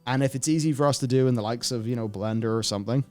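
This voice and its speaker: Male gaming host, average pitch, casual and rambling, thinks out loud while fielding viewer comments. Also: racing, soft background music.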